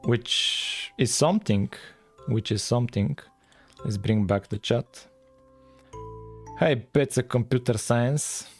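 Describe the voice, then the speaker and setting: A man's voice: mid-range voice, slow, pauses often, faint music behind. A man from Bulgaria with a Bulgarian accent, chatting casually and thinking aloud as he livestreams his coding. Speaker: live streamer, game developer.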